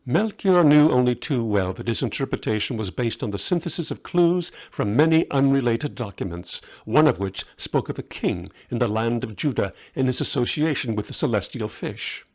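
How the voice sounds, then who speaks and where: A man's voice: medium pitch, lively intonation, normal speed, few pauses, darkish tone. A man reading his own short story aloud as a scripted audiobook narration.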